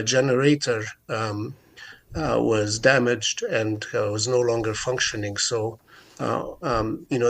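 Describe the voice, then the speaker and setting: Radio guest, middle-aged man from Jerusalem, halting delivery full of fillers, mid-pitched. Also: a bit bright. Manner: hesitant, many fillers and restarts. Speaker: guest activist. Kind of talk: radio interview.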